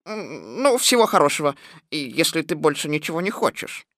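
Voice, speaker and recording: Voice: mid-range voice, very animated, moderate pace, fairly bright tone, no room sound, studio quality. An actor playing a fussy rabbit in a children's audio tale, polite but stern, with a prim, lecturing manner. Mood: brisk.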